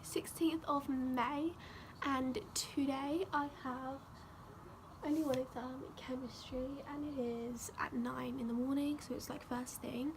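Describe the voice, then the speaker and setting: A teenage girl chatting casually to camera for her vlog, voice croaky from a sore throat, full of "like" and "um". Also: high voice, steady tone, measured pace, background noise. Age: teens. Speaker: vlogger.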